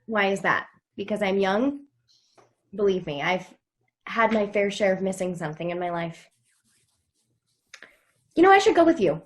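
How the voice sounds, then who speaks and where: A woman's voice: medium pitch, lively intonation, moderate pace, pauses often, natural voice. Casting: bold young woman female actor, mid-range voice, teasing and direct, naturalistic TV drama. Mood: blunt, teasing. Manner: frank, sarcastic. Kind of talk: naturalistic table read of a TV drama.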